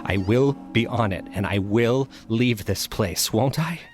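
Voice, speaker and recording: Actor playing a haunted, brooding man, low-voiced. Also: measured pace, over music, lightly acted. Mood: haunted, afraid. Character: haunted, fearful male mathematics professor, solitary and brooding. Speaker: narrator and lead character. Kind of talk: dramatic audio-drama narration.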